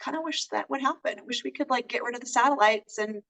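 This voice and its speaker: A woman's voice: fairly high pitch, quick pace, pushed and bright. A woman co-hosting a casual movie review over a remote call, speaking hesitantly with many fillers. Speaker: co-host. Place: in California. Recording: voice distant from the mic.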